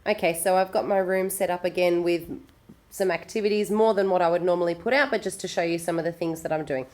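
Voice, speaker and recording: An Australian woman with a slightly low, croaky voice walks through classroom routines in a practical, chatty way. She sounds tired, with a hoarse edge left from a long day of talking. Her delivery is casual and full of small fillers. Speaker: assistant principal and teacher. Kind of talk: teaching tips video, casual explanation.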